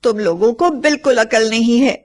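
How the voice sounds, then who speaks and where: A woman voicing a lonely, caring and anxious village mother, plaintive and expressive, in an animated moral story. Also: a little high, normal speed, close to an everyday voice. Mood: scolding, anxious.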